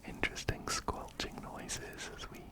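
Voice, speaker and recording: Slow, whispering male ASMR gamer. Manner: gentle, repeats phrases softly. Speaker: ASMR host playing a video game. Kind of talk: close-up whispered ASMR game commentary. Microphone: close binaural microphone, ear to ear.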